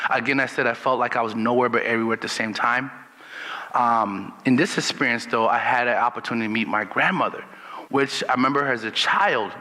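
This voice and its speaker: A man from Liberia telling a personal story in a relaxed, unhurried storytelling manner. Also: medium pitch, long reverb tail. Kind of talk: personal testimony.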